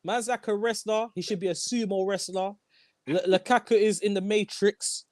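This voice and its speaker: A young man hosting a casual football chat, animated and slangy, repeating his lines. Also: sits high, normal speed. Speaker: host.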